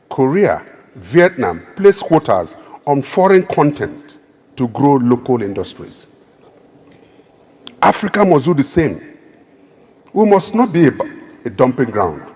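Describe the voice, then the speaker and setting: A Nigerian man with a clear Igbo accent addresses an audience in an emphatic, oratorical style, building each point to a rousing peak. His pitch rises and falls in wide, animated sweeps. His voice carries the long reverb of a large hall. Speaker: keynote speaker. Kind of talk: public speech.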